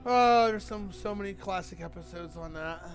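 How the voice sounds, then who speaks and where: A man's voice: sits high, moderate pace, faint music behind. A man chatting casually as a game streamer, rambling and teasing in a playful way over cutscenes.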